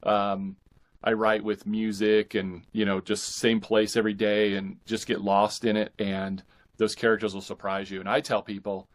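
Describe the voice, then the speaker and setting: A man speaking as an author guest in a casual interview, with halting, thoughtful phrasing. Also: on the low side, flat delivery.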